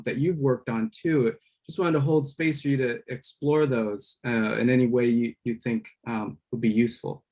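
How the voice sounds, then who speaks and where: A man moderating an online panel over a video call, speaking haltingly with many fillers and restarts. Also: mid-range voice, a little mellow.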